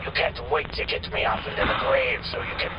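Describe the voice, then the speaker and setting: A man's voice: mid-range voice, a little fast, very noisy, close to an everyday voice. A man playing a bitter, dying old husband in a radio drama, speaking in a strained, demanding way. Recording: dull old-radio sound, loud music behind.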